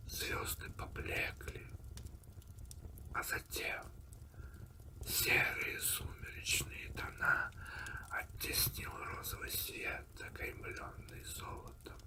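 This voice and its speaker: A man narrating an eerie audiobook in an ASMR style, in a breathy, hushed whisper with deliberate pauses. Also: low-quality recording. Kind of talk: ASMR whispered reading of a horror story.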